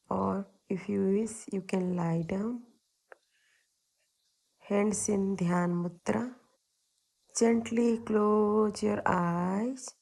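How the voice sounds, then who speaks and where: A woman leading a guided meditation as a yoga teacher, giving soothing, unhurried instructions with long pauses between short phrases. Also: mid-range voice, gravelly, a little mellow, poor audio.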